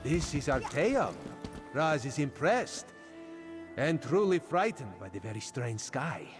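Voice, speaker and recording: A man's voice: mid-range voice, sing-song, slow pace. An actor playing a wry, loyal cat-man agent in a video game, theatrical, speaking of himself in the third person. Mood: uneasy, wry. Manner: theatrical, refers to himself by name. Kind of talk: video game character dialogue. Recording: background music under the voice.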